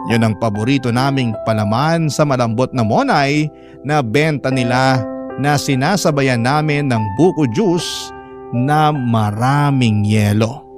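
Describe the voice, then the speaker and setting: A man's voice: mid-range voice, measured pace, lightly acted. A man acting as a devoted lover, telling his rekindled romance in sincere first-person narration. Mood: nostalgic. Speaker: narrator telling his love story as a letter to a radio host. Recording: loud guitar music bed under the voice. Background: acoustic guitar music.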